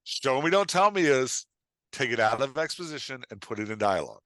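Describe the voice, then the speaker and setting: A man's voice: mid-range voice, sing-song, fairly quick, pushed and bright, no echo. A man talking casually as a podcast guest and writing coach, making points emphatically and acting out imagined lines. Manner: emphatic, acts out imagined lines, checks with "right?". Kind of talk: casual podcast advice talk.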